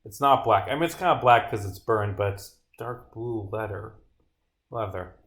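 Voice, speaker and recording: A man's voice: fairly low pitch, moderate pace, a bit bright. A man streaming as he works on his game, talking casually to himself with sweary, grumbling asides. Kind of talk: casual livestream muttering while reading and bugfixing script aloud. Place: indoors at a computer, streaming.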